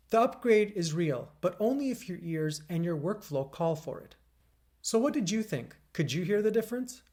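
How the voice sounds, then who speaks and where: A man talks through an audio gear review with a smooth, radio-DJ delivery. His voice sits a little higher than most men's, and he keeps things upbeat and punchy, slipping in wry quips. It is recorded close on a condenser mic indoors. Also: a little mellow. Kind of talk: scripted product review narration. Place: indoors beside a PA speaker. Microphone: USB condenser mic.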